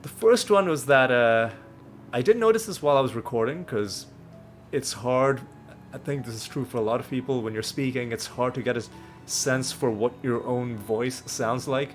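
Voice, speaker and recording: A man's voice: medium pitch, normal speed. A man hosting a let's play, explaining the game in a rambling, self-correcting way, with a slightly nasal voice as he gets over a cold. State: recovering from a cold. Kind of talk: casual gameplay commentary. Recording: faint background music.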